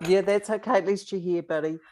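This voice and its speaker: A woman with a New Zealand accent hosting a gentle interview over a video call, soft and supportive with hesitant restarts. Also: mid-range voice, steady tone, quick pace, very noisy. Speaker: podcast host.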